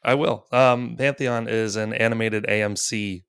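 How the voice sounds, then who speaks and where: A man's voice: on the low side, steady tone, a little slow, gravelly, a bit bright, smooth, no room sound, clean studio sound. A man in his thirties hosting a casual gaming podcast, rambling with lots of fillers and easy laughs. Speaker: podcast host.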